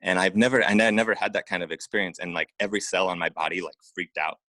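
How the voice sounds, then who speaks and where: A man from Oregon speaking as an interview guest, telling personal stories casually in an informal, halting way with repeated phrases. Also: low voice, steady tone, sharp tone, dry recording. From Oregon, United States. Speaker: interview guest, acupuncturist and massage therapist.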